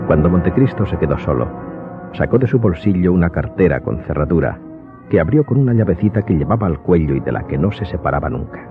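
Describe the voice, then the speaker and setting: Deep-voiced male narrator over music. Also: lively intonation, fast. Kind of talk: scripted audiobook narration. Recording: muffled, music playing behind.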